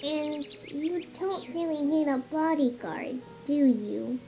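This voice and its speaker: A woman voicing an excitable, cute young female pony with a squeaky, girlish voice in a fan-made audio drama. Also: slow, exaggerated voice. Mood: dejected. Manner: bubbly, blurting. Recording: background music under the voice.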